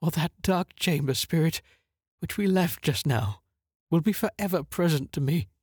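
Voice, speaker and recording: Old miser, voiced by a male reader: breathy, higher, pleading, dry studio sound. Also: moderate pace, darkish tone, no echo. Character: shaken old miser begging a spirit.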